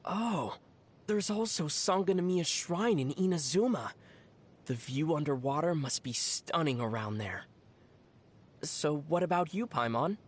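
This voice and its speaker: A woman voicing a calm, sensible young female adventurer in a video game, in a wry, measured and thoughtful way. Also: low-pitched, breathy edge, full character voice. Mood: thoughtful, curious.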